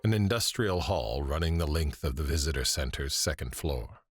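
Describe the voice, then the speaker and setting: A man narrating an audio drama in measured, descriptive scripted prose. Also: extremely low pitch, animated, husky, soft background music, exaggerated voice. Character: male narrator setting scenes, measured and descriptive. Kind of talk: scripted present-tense scene narration.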